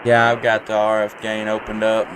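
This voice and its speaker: A man hosting a casual live stream as an amateur radio operator, talking in a halting, folksy, rambling way. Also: fairly low pitch, completely flat delivery, background noise. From Tennessee, United States.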